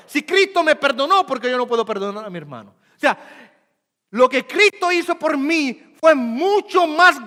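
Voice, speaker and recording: A male pastor preaching a sermon, rising loud and slow on key points and dropping to quick, quiet asides. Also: very high voice, in a large hall. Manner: swells loud and slow for emphasis, then drops to quick murmurs. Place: church sanctuary.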